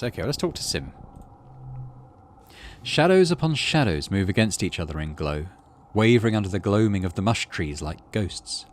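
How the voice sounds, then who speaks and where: A man's voice: on the low side, a little hiss or hum. A man, middle-aged, doing playthrough commentary and reading game text aloud expressively. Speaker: gaming channel host.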